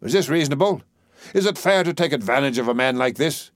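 A man voicing an elderly working-class dustman in a play reading, expressive, rhetorical and wheedling. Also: mid-range voice, quick pace, no room sound, studio quality, pitched above the performer's own voice, natural voice. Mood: wounded, pleading. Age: older.

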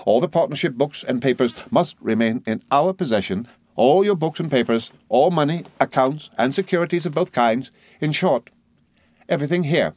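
Male reader as young gentleman lawyer, raised pitch, polite, measured, firm, storybook character. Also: lively intonation, dry recording, close to an everyday voice. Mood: firm, methodical. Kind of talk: audiobook character voice.

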